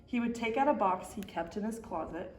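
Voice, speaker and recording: A woman narrates a children's picture book with expression. Her pitch sits in the middle range, and the room around her voice echoes. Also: lively intonation, brisk, a little hiss or hum. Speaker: story time reader. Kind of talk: expressive children's storybook reading.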